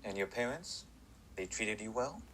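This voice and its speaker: A man playing a lively, probing psychiatrist. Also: lowish voice, slight background noise, poor audio. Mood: inquiring. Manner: inquisitive, measured questioning. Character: male prison psychiatrist, probing and polite. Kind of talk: fan audio drama acting.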